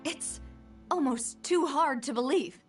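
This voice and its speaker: A thoughtful young lady in a video game. She sounds polite and composed, with a high voice. The audio has faint music behind it. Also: measured pace, recorded from a distance. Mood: troubled, reflective. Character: thoughtful, earnest young lady, heir to an organization. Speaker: voice actor in a game. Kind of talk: scripted video game dialogue.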